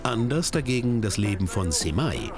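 Lively low-voiced male documentary narrator. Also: moderate pace. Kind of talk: scripted voice-over narration. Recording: narration over background music. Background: background music.

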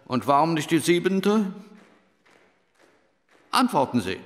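Radio drama: stern examiner, clipped orders at a very unhurried pace, big reverb. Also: male voice, on the high side, very animated. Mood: stern, commanding. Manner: commanding, clipped, deliberate. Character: stern, suspicious military medical examiner questioning a recruit. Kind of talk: German radio play acting. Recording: reverberant hall.

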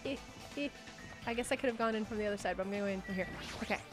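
A woman's voice: medium pitch, racing. A woman co-hosting a gaming channel, reacting casually and expressively, with free swearing. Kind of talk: casual reactive let's-play chat. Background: game music.

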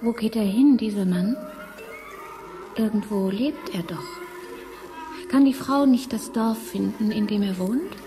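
A woman's voice: medium pitch, a little slow, long pauses, close to an everyday voice. An actress playing a bewildered, wistful woman taken for a goddess, questioning hesitantly in a science fiction radio play. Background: music.

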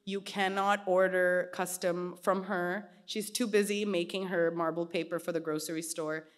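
A woman in her thirties chatting on stage into a stand mic in a small indoor gallery space. She tells her stories with animation and puts on quick voices for the people she quotes. Her voice sits a little low. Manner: animated storytelling, gestures a lot, acts out quoted voices. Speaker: artist guest. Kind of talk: casual onstage conversation.

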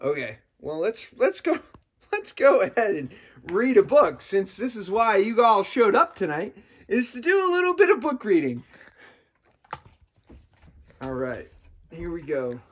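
A man's voice: sits high, big pitch swings, measured pace, pauses often, husky. A man in his fifties hosting a story time, talking and reading aloud playfully for children. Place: small bedroom, indoors.

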